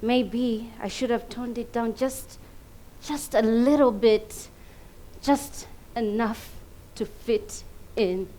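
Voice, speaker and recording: Ugandan female stage performer, very high voice, slow theatrical pacing, emphatic comic monologue with big dynamic swings. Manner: emphatic, dramatic delivery with big swings between hushed and loud. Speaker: competition contestant performing a monologue.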